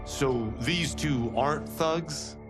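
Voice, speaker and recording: An actor playing a cocky, scornful young warrior in a video game, proud and deliberate. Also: male voice, fairly high pitch, over music. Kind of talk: video game cutscene acting.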